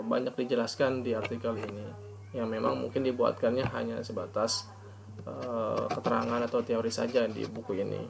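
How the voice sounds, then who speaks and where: A man giving an academic presentation as a student, formally reading out points and then explaining them haltingly. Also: mid-range voice, gravelly. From Indonesia. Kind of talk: academic presentation of an article review.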